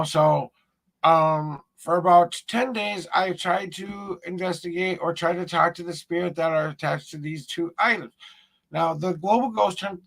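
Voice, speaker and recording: Male ghost-hunting streamer, gravelly and slightly high-pitched, casual and rambling. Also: a bit bright, poor audio. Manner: rambling, repeats himself while showing objects. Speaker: paranormal investigator streaming live. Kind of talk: casual live-stream talk.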